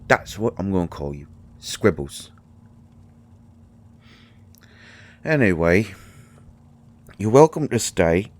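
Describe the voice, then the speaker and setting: Guy doing a little gruff shy healer creature for an audio drama, really low voice, takes his time, mixed-up british accent, stumbles over words, kind underneath. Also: exaggerated voice. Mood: teasing, brusque. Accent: mottled British. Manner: halting, stammering, mumbling asides. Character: tiny five-inch gruff, shy, caring male potion-brewing healer. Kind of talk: intimate roleplay audio drama monologue.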